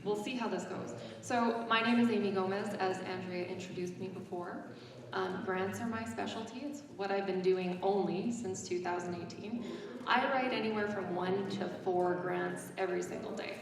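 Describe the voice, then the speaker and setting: A woman with a loud voice speaks in a casual, chatty way at a conference. She sounds far from the mic in a large hall with long reverb. Audience noise sits behind her. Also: mid-range voice, fairly even intonation, moderate pace, a crowd in the background. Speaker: grant writing consultant. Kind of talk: conference presentation. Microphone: small handheld microphone.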